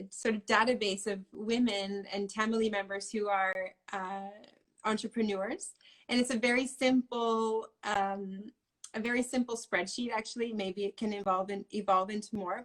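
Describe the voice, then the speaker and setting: A woman's voice: medium pitch, fairly bright tone, rough recording. A woman guest in a casual interview, talking in a rambling way with frequent laughs. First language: English. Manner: rambling, many fillers and restarts, laughs often.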